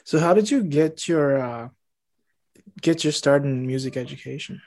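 A man's voice: on the high side, moderate pace, a little mellow. A man hosting an interview, asking questions in an easygoing way with small fillers. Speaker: interview host. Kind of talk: casual interview.

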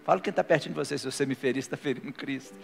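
A man's voice: mid-range voice, racing, gravelly. A male pastor preaching a sermon to a live congregation and leading a prayer, his delivery rising to fervent declarations. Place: church hall, live before a congregation. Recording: long hall reverb with faint music.

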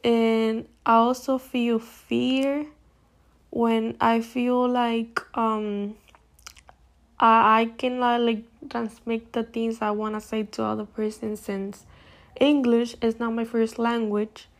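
Woman, young adult, slow, gravelly, hesitant. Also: on the high side, level tone. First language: Spanish. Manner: hesitant, searching for words. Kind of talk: casual talk about fears.

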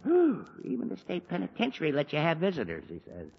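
A man's voice: a little high, huge pitch swings, fast talker, vocal fry, background noise, exaggerated voice. An actor playing an exasperated middle-aged father who sputters and grumbles, in 1940s radio acting. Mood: weary grumbling. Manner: blustery, sputtering, often cut off mid-sentence. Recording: dull lo-fi 1940s radio recording.

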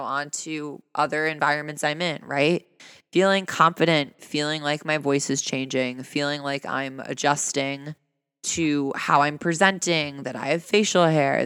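A man speaking earnestly and conversationally in a personal reflective talk, his voice fairly high for a man, often asking rhetorical questions. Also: creaky, sharp tone, clear, resonant tone, no room sound, studio quality, in a large hall. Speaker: vlogger.

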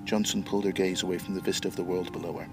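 A man's voice: sits low, little pitch movement, racing, over music. A middle-aged man from Northern Ireland, speaking as a writer and podcast guest. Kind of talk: interview answers and reading own short story.

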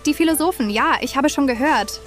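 A woman's voice: high-pitched, fairly quick, faint music behind, natural voice. A woman playing a polite, curious traveller in a comic audio drama. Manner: polite, questioning.

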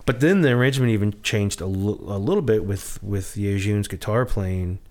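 Low-voiced man in casual chat. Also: a little mellow. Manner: rambling, self-deprecating, halting restarts. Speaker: podcast co-host.

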